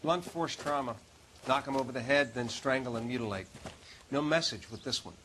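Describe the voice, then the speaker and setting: Guy doing a dry matter-of-fact coroner for a game scene, medium pitch, slight noise. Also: fairly quick, close to an everyday voice. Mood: clinical, dry. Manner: clinical, dry. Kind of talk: video game cutscene acting.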